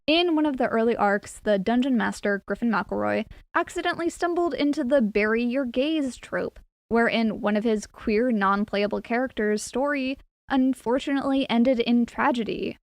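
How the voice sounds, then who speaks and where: Higher-voiced woman in dry, close sound. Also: normal speed, no room sound. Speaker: video essayist. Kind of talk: scripted opinion commentary.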